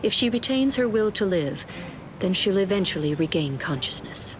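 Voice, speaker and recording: An actress playing a composed, quietly detached healer captain in an anime game, polite and formal. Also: female voice, medium pitch, lively intonation, moderate pace, noisy background, close to an everyday voice. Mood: serene, detached. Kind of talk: anime game cutscene acting. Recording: loud music behind.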